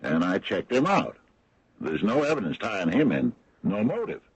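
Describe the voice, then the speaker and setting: A man's voice: lowish voice, big pitch swings, moderate pace. A man playing a skeptical, loyal small-town American sheriff in a radio drama, folksy and a little hesitant. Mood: stubborn, defensive. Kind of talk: 1980s radio drama acting. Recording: dull, noisy old radio broadcast.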